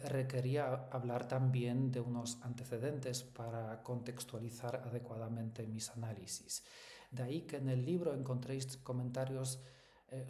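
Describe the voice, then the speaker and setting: Male Hispanist scholar, native Polish speaker, soft dark timbre, measured formal presentation, room echo. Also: medium pitch. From Poland. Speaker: academic, Hispanist researcher. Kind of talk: prepared academic book presentation. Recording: echoey room sound.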